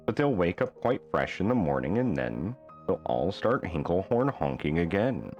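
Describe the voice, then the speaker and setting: A man reading a rhyming children's bedtime book aloud as an expressive storyteller. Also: low-pitched, moderate pace, dull audio, soft background music.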